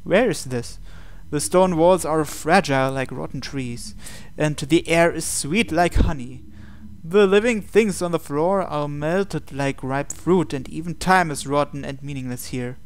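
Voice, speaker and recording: A man hosting a game playthrough, reading visual novel text aloud and commenting on it in a measured way with pauses between phrases. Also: fairly high pitch.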